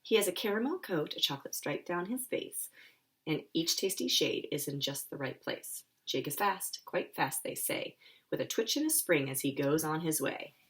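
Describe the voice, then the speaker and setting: A woman's voice: low-pitched, expressive, moderate pace, a bit bright. An American woman, a children's author, chatting informally and reading her picture book aloud for young listeners. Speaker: children's author and school counselor.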